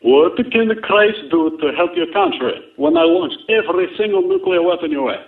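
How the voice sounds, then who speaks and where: A man's voice: high-pitched, moderate pace. A man voicing a belligerent, boastful Soviet Russian with a thick accent and broken English, taunting his enemy over a phone line. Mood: mocking, defiant. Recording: tinny phone-like line, roomy echo.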